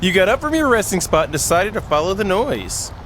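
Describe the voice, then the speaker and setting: A man's voice: high voice, a little fast, a little hiss or hum. A man hosting a gaming video, reading aloud and breaking off for playful, jokey commentary. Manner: self-interrupting, jokey, animated.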